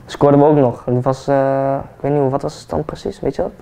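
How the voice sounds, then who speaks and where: An eighteen-year-old man, a football player, chatting relaxed and hesitant with many fillers while getting a haircut in a barbershop. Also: mid-range voice, fast, vocal fry, clear, resonant tone. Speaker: football player, interview guest. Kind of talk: casual interview chat. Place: barbershop, indoors, seated in barber chair.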